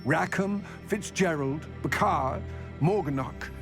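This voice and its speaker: A man playing a grave, weary goblin in a video game, speaking in a formal, measured, wordy way about serious matters. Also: a little high, over music. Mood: grave, explaining. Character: grave, weary male goblin, wary of wizards. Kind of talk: video game cutscene acting.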